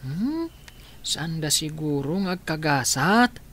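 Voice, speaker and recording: Man playing a gentle older brother. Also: fairly high pitch, big pitch swings, slow, full character voice. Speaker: radio drama actor.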